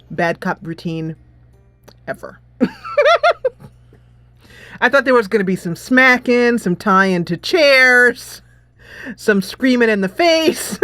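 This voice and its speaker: A woman's voice: mid-range voice, no echo. A woman giving casual, chatty commentary on a game playthrough, giggly and playfully amused. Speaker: gameplay host and streamer. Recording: soft music behind the voice.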